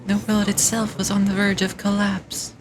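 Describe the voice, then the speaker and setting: A woman voicing an ancient, scornful dragon from a game, solemn and grandiose in a measured delivery. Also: mid-range voice, completely flat delivery, a crowd in the background, pitched below the performer's own voice, close to an everyday voice, rough recording. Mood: grave storytelling. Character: ancient, proud, scornful dragon nursing old hatred. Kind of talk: game dialogue read aloud in character.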